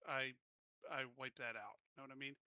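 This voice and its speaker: A man's voice: mid-range voice, steady tone, normal speed, bright, forceful tone, clean tone, no room sound, dull audio. A man playing a tabletop game over online voice chat, thinking aloud in casual speech as he plans moves. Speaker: player.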